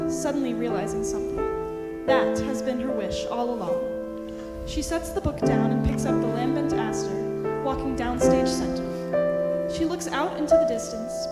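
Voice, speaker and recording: A woman reading scripted stage directions as narration in a theater. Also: sits high. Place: high school theater. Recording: echoey hall, loud piano music behind.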